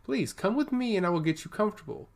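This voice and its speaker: A man voicing a genial old robed temple liaison with a booming, courteous, unhurried delivery. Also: animated, creaky.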